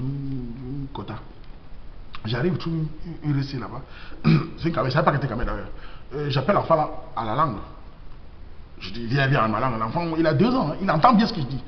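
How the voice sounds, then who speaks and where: A man's voice: mid-range voice, roomy sound, in a large hall, lo-fi sound, slight background noise. A man from Cameroon with a Cameroonian French accent, delivering an emphatic, preachy monologue full of rhetorical questions. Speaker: vlogger. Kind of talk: impassioned monologue.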